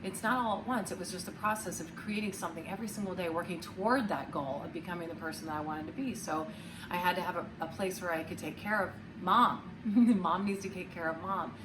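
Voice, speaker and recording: A woman in her forties talks frankly and animatedly, her voice on the low side and naturally loud. She fills her speech with "um" and "you know" and restarts her sentences. She sits a little back from the mic, and steady background noise is clearly audible. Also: brisk, far from the mic. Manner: frank, with many fillers and restarts. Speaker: relationship coach. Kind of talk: casual vlog talk to camera.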